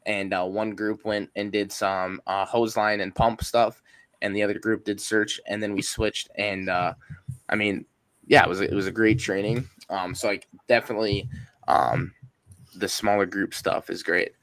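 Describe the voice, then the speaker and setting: A man's voice: on the low side, flat delivery, moderate pace, a bit bright, no room sound, low-quality recording. A young man, a firefighter hosting a podcast, talking casually and hesitantly with plenty of ums and you-knows. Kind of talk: casual interview talk.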